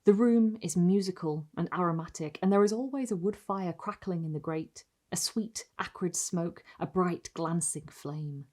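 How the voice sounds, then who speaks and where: Lady reading gothic lit like an audiobook, slightly low voice, measured n expressive, lingers on the phrases. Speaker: narrator reading a short story. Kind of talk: literary audiobook-style narration of gothic fiction.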